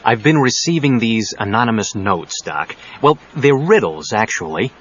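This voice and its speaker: Low-voiced, wry leading man. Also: lively intonation, normal speed, a bit bright. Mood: wry, curious. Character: self-assured, wry, wealthy male leading man. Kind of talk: audio drama acting. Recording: dull cassette audio, faint noise.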